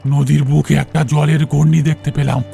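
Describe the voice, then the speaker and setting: A man plays a mysterious boatman's ghost from a cartoon horror tale, with a heavily gravelled, dark voice. He is fatalistic and evasive, humbly rustic in speech, repeating his phrases over faint music. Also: fairly high pitch, flat delivery, moderate pace. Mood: haunted, sorrowful. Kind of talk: Bengali animated ghost story acting. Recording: music under the voice.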